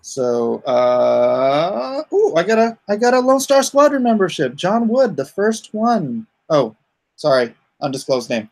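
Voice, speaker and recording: A man's voice: high voice, big pitch swings, unhurried, gravelly, darkish tone. A man hosting a casual livestream, rambling and easygoing as he thinks aloud. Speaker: livestream host and comic writer.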